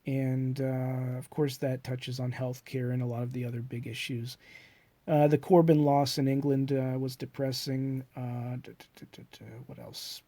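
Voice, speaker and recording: A man's voice: mid-range voice, little pitch movement, normal speed, mellow, no echo. A middle-aged man with a cold and a congested voice, musing in a hesitant, reflective monologue full of fillers and restarts. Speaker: self-published author. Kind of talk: rambling personal monologue.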